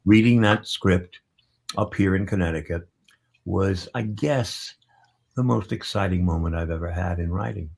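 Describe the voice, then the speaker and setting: A man being interviewed, reminiscing about his work in a halting, reflective way. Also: sits low, creaky, darkish tone, husky. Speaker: interviewee, theatre writer.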